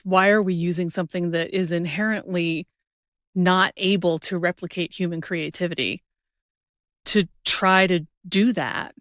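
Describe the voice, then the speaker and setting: A woman's voice: fairly low pitch, a bit bright, no room sound. Woman podcast host, dry sound. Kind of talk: casual conversational interview.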